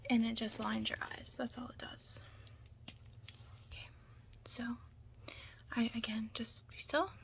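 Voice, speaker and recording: A young woman doing an ASMR roleplay as a makeup stylist, speaking softly and breathily, often in a whisper, with reassuring asides. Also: fairly high pitch, flat delivery, unhurried, long pauses. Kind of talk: ASMR roleplay, close personal attention. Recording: close-up with clear background noise.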